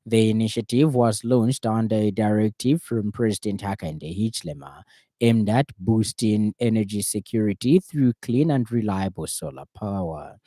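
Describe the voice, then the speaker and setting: A man with a Zambian accent reading a scripted radio news bulletin in a formal, measured way. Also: lowish voice, dark tone, dry recording, clean studio sound. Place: radio studio.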